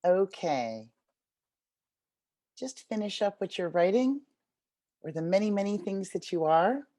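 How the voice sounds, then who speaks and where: A woman's voice: mid-range voice, big pitch swings, unhurried, warm tone. A woman facilitating an online group writing activity over a video call, speaking in an encouraging manner. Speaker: workshop facilitator.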